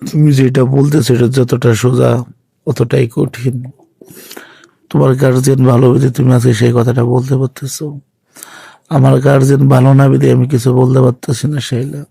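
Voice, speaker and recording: A man's voice: medium pitch, level tone, a little fast, vocal fry, mellow, breathy edge, no echo. A man playing a regretful young lover, pleading in a subdued way in colloquial Bangladeshi Bengali on an emotional phone-call drama. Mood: sad, resigned.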